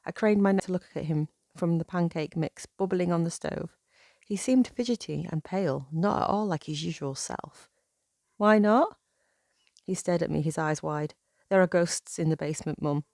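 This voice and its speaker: A woman reads a first-person horror story as its narrator. Her voice sits fairly low with a noticeable creak, and faint music plays behind her. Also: normal speed, mellow, dry recording, studio quality.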